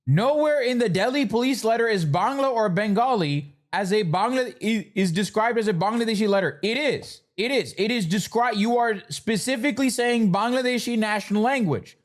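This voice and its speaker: A man's voice: high voice, normal speed, few pauses. A man giving blunt, argumentative political commentary in a casual livestream style, sometimes reading text aloud. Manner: blunt, argumentative, reads texts aloud and interjects. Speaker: online commentator reacting to news.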